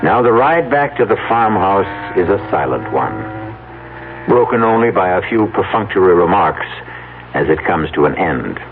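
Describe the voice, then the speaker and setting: A man playing an ominous storyteller who narrates a suspense radio drama in a theatrical, deliberate way. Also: sits low, very animated, phone-quality sound, a little hiss or hum. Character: ominous male storyteller hosting a mystery show. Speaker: host and narrator. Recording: band-limited old radio broadcast, music behind. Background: music.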